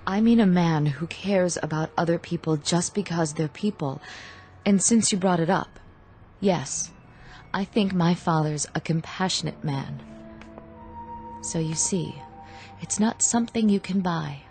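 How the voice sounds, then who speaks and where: An actress playing a gentle, principled young woman in a radio drama, soft and earnest. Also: on the low side, measured pace. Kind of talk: vintage radio drama acting. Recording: old radio drama with faint music.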